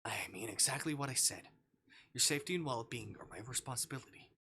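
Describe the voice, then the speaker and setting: A man plays a male kidnapper in a visual novel, composed and possessive. He speaks quietly and clinically in a bright, medium-pitched voice, with condescending certainty. Faint noise sits behind the voice. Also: animated, fast. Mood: cold, possessive. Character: calm, controlling male kidnapper convinced he is a caring partner. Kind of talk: visual novel character reading.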